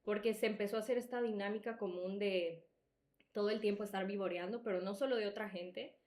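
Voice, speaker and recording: The voice is a young woman's, set at an average pitch in a clearly echoey room. Her Salvadoran accent has taken on a Mexican tinge. She hosts casually, telling personal stories in a candid and emphatic conversational style. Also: steady tone, brisk, darkish tone. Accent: Salvadoran softened by Mexican. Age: young adult. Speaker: podcast host.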